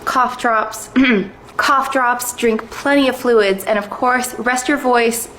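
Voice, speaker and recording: A young adult woman, a comedy skit creator, talking in a chatty, expressive way. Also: fairly high pitch, slow pace, a little hiss or hum, poor audio. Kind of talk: sketch comedy and casual chat.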